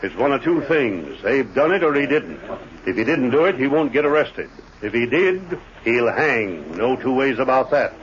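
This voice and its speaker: An actor playing a stern, plain-spoken western sheriff with folksy grammar, in old radio drama style. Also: male voice, medium pitch, sing-song, moderate pace, a little hiss or hum, lightly acted. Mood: firm. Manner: blunt, folksy frontier grammar. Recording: muffled old radio broadcast.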